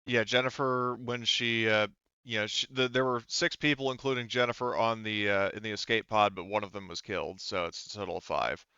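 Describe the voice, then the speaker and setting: A man with a clear, fairly low voice acts as game master. He narrates casually and haltingly, rambling through explanations. The recording is close and dry but a little muffled. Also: fairly even intonation, bright, forceful tone, no room sound.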